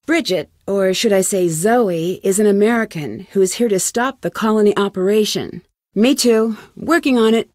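A woman voicing a young amateur detective in a video game, inquisitive and determined, with a clear, earnest, questioning delivery. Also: mid-range voice, normal speed, darkish tone, no echo, clean studio sound, close to an everyday voice. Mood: matter-of-fact. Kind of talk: scripted game dialogue, isolated lines.

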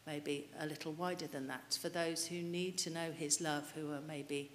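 A woman's voice: low voice, fairly even intonation, moderate pace. A middle-aged woman preaching a sermon at a church lectern mic, earnestly putting questions to the congregation. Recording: reverberant church hall, light hiss.

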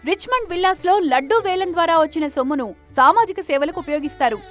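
A woman's voice: very high-pitched, expressive, fast talker. A woman reading scripted news narration as a reporter. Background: background music.

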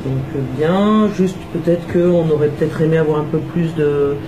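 A woman's voice: deep voice, unhurried, music in the background, rough recording. A woman on a jury giving polite formal feedback on a thesis, hesitating often with fillers. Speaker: jury member. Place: office meeting room.

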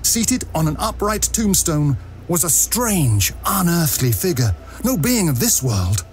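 A man's voice: on the high side, sing-song, a little slow, slight background noise. A man narrating a ghostly tale for an audio drama in a theatrical storytelling manner.

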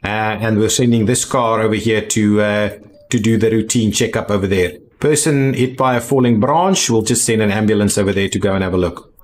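A man giving casual video game commentary, thinking aloud in a halting way as he plays. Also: fairly low pitch. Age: adult. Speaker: gaming video host.

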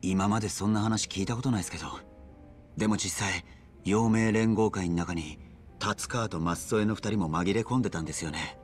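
A male actor plays a young, deferential gangster in a video game story. His voice is fairly low and his tone earnest and serious. Quiet music plays behind the dialogue. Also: fairly quick, close to an everyday voice. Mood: puzzled. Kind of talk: video game drama acting.

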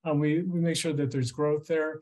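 A man's voice: a little high, fairly even intonation, normal speed, a little mellow. An American man in his fifties giving informal, explanatory commentary as a financial expert on a video call from home. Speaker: financial journalist interviewed as expert. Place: small furnished room at home.

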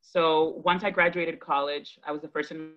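A woman's voice: deep voice, fairly even intonation, a bit bright, soft background music. Earnest woman on a muffled video call. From Dominican Republic, raised in Boston from age five. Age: 30s. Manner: earnest, measured, thoughtful with deliberate pauses. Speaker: guest speaker, education advocate. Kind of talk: reflective personal storytelling to an online audience. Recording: dull, lo-fi video call audio.

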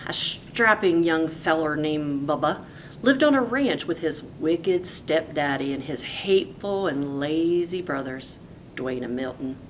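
A woman teacher reading a picture book aloud in a folksy, animated storytelling way. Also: unhurried. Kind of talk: expressive storybook read-aloud. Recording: noisy with low rumble.

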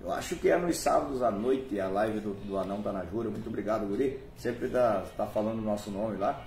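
A man's voice: on the low side, brisk. Chatty man, echoey room. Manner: warm, chatty, reads out viewer names and thanks them. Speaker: host of a live music stream. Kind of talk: casual live-stream shout-outs. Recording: echoey room from far off, faint noise.

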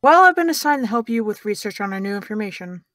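A woman's voice: mid-range voice, animated, fast talker, a bit bright, no room sound, clean studio sound, natural voice. A young actress playing a sarcastic young woman who teases and needles in an audio drama. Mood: sly teasing. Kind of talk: audio drama dialogue of a video call.

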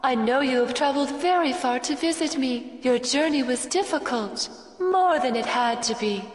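A woman's voice: sits high, normal speed, natural voice. A woman playing a regal, condescending goddess figure with a stately, formal manner in theatrical anime game acting. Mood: regal, gracious. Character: regal, condescending false goddess greeting a visitor. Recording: echoey hall, long reverb, faint noise.

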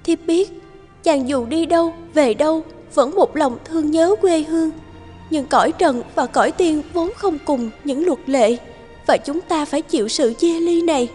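An actress playing a gentle young fairy wife in an animated folktale, speaking tenderly and formally. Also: female voice, high voice, moderate pace, soft background music, close to an everyday voice. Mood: sorrowful.

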